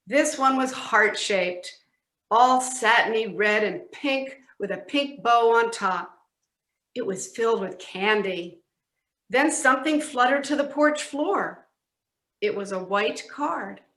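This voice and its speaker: A woman narrating a scripted storybook tale with deliberate, expressive emphasis. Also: on the high side. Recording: echoey room.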